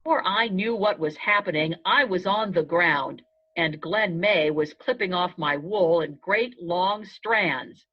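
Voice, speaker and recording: A woman narrating a children's storybook aloud in the first person, in a measured storytelling manner. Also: fairly low pitch. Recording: dull, lo-fi sound.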